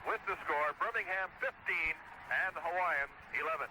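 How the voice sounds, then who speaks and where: A man's voice: fairly high pitch, moderate pace. An American man calling a live football game on radio in clipped, present-tense play-by-play phrases. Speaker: radio play-by-play sports announcer. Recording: very noisy old 1970s radio broadcast.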